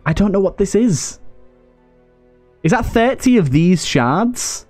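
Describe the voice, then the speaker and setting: A man's voice: high voice, lively intonation, slow pace, a little mellow. A man giving casual let's play commentary, thinking aloud and chatting to his game characters. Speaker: gaming video host. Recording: game music behind voice. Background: game music.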